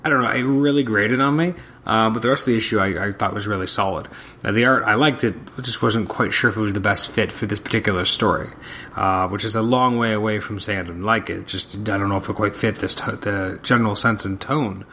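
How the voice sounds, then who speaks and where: A man's voice: on the low side, steady tone, brisk, sharp tone, slight background noise. A man hosting his own podcast, talking casually and hesitantly while fighting a cold and losing his voice. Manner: casual, hesitant with many ums. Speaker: podcast host. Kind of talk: solo comic review podcast.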